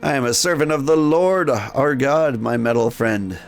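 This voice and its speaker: A man's voice: medium pitch, moderate pace, vocal fry, faint music behind, close to an everyday voice. A man voicing an earnest, devout street preacher in a video game, with a declamatory manner.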